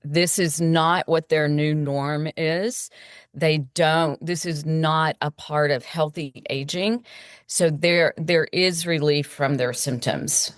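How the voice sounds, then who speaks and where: Woman, low voice, smooth and clear, dry close sound, confident explainer. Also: steady tone, takes it slowly, dry recording. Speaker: gynecologist guest. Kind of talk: podcast interview answers.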